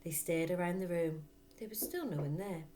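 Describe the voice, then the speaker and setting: A woman reading a children's story aloud like a teacher, in expressive narration. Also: fairly low pitch, normal speed.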